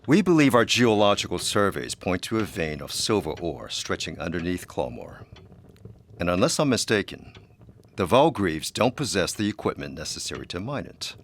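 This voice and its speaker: A man playing a suave, calculating man of wealth in a period audio drama, formal and smoothly insinuating. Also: low-pitched, very animated, normal speed, slight background noise.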